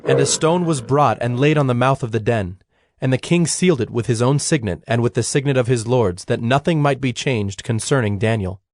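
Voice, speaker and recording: A man narrating scripture as a formal, measured storyteller in a dramatized production. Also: medium pitch, a little mellow, no echo, studio quality. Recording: music bed behind the voice.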